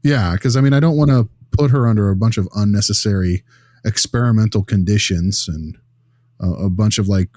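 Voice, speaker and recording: Man playing low, mellow behavior consultant. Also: a little fast, no room sound, clean studio sound, natural voice. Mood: earnest, measured. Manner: rambling, reasoning aloud, casual. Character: earnest male behavior analyst consulting on a child's case. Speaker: behavior consultant in role-play. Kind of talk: improvised professional role-play meeting.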